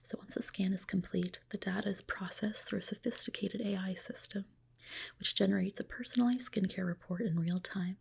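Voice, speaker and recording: Woman, ASMR whisper, very breathy, crackly fry, gentle and reassuring, soft clinical roleplay. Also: medium pitch, fairly even intonation, a little fast. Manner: gentle, polite, reassuring professional. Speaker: ASMR host roleplaying a dermatologist.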